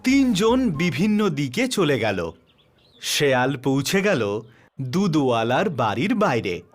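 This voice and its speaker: A man narrating a scripted children's story as the storyteller. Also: fairly high pitch.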